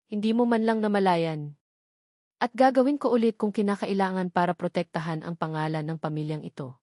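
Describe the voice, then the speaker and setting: A woman voicing a haughty, scheming wealthy mother in an audio drama, calm, condescending and unapologetic. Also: on the low side, fairly quick, dry recording, studio quality, close to an everyday voice. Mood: smug, defiant.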